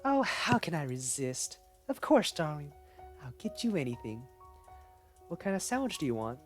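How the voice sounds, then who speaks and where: A man's voice: fairly high pitch, very animated, normal speed, soft background music, voice pushed up. A man voicing a controlling young woman who talks down to her partner in a syrupy, coaxing way. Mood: sugary.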